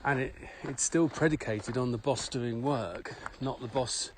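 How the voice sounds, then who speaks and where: A man's voice: medium pitch, a little slow. Man chatting over noisy background. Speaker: podcast co-host and management adviser. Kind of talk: casual podcast discussion. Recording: clearly noisy background with clicks.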